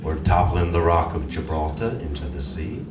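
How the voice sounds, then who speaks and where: A man playing a calm, grave alien visitor in a live radio drama, speaking in a measured, formal and deliberate way. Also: a bass voice. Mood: grave warning. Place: library community room, live performance. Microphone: audience member's distant recorder. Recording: echoey room from far off, noisy.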